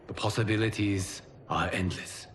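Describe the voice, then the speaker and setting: An actor voicing a solemn, calm, godlike keeper of time in a cinematic video game, speaking in a measured, formal way. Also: male voice, on the low side, noisy background, close to an everyday voice. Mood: solemn, reflective. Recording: orchestral music behind the voice.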